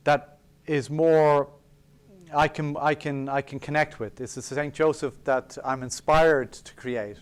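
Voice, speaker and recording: Male sculptor speaking at a lecture, mid-pitched and resonant, reflective and sincere, dry humor about himself. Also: clear, resonant tone. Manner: earnest and reflective, with self-deprecating asides. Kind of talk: public lecture on sacred art. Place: college auditorium.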